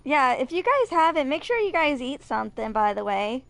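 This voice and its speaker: A woman in her twenties with a fairly high voice. She gives casual gaming commentary, chatting with viewers and reading aloud a little unevenly. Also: big pitch swings, moderate pace, gravelly, no room sound. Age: 20s. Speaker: gaming video host.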